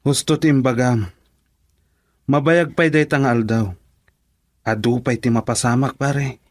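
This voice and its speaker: A man playing a calm, reassuring friend. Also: mid-range voice, measured pace, natural voice. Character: supportive male friend offering advice. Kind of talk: radio drama acting.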